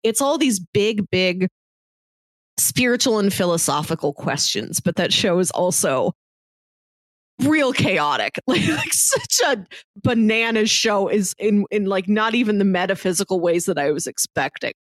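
A woman's voice: medium pitch, very animated, a little slow, no echo. Woman reviewer with a close, dry sound. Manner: analytical, articulate, elaborates at length. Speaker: podcast co-host and reviewer. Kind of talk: casual film and TV review chat.

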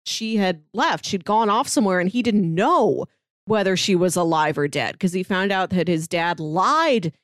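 A woman's voice: on the low side, lively intonation, normal speed, no echo, studio quality. Chatty woman recapping a TV season. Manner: chatty, analytical, laughs often. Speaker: podcast co-host. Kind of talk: casual TV recap chat.

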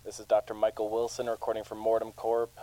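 A man's voice: on the low side, monotone, fast talker, mellow, natural voice. A man playing a matter-of-fact research doctor logging a test in an audio drama, on a slightly noisy recording. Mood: businesslike. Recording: faint noise, clicking tape recorder.

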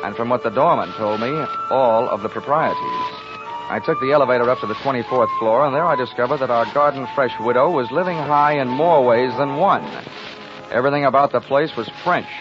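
An American actor playing a cynical, wisecracking insurance investigator in an old-time radio detective drama, wry and brash. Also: male voice, medium pitch, brisk, natural voice. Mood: wry narration. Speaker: radio drama lead and narrator. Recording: muffled old radio broadcast, music behind.

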